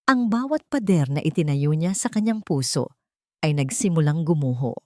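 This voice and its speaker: A woman reading scripted dramatic fiction as a narrator, in a solemn, deliberate way. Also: sits low, lively intonation, a little mellow, no room sound, studio quality. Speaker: story narrator.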